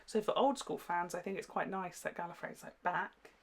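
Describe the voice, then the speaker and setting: A woman's voice: lowish voice, moderate pace, gravelly, slight background noise. A British woman chatting casually and enthusiastically as host of a reaction show, explaining things with quoted asides. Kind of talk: casual chatty episode review.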